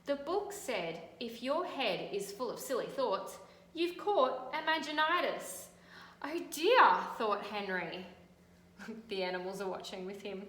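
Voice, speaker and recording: An Australian woman teacher reading a picture book aloud and gently pointing out details in the pictures. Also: on the high side, very animated, a little slow. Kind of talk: storybook read-aloud with commentary on pictures. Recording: echoey room, faint background noise.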